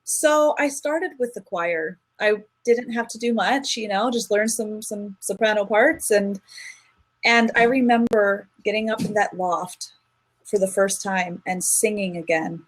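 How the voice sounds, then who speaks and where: A woman's voice: mid-range voice, measured pace, rough recording. A woman speaking as a guest in an interview, telling a personal story in an earnest, reflective way with frequent restarts. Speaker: guest, singer.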